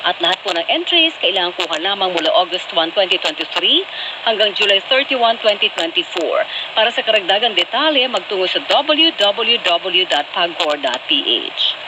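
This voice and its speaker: A woman's voice: mid-range voice, big pitch swings, moderate pace. A woman with a Filipino accent reading a scripted radio promo as an announcer. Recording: noisy AM radio aircheck.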